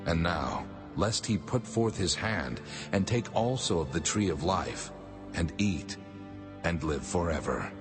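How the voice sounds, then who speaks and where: An actor playing a stern, commanding God, solemn and authoritative, in a dramatized audio Bible with long reverb. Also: male voice, sits low, lively intonation, a little slow. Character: the Lord God, male, stern commanding judge. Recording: long hall reverb with music.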